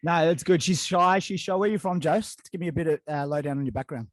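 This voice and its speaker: A man hosting a casual podcast interview, relaxed and rambling with lots of fillers. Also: on the high side, fast talker, no echo. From Australia. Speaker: podcast host. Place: living in Dubai.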